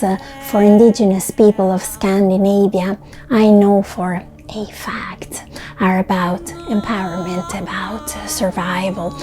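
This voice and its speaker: A woman hosting her channel, giving an earnest voice-over narration with soft, trailing ends to her phrases. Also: mid-range voice, low-quality recording. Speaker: channel host. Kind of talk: voice-over narration of a video essay. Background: music under the voice.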